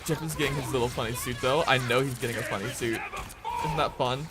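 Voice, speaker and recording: Soft-spoken young man with a drawl. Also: mid-range voice, fast talker, heavy background noise. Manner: soft, calm, hushed talk. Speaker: streamer. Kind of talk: quiet casual gameplay chat. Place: room at home at night.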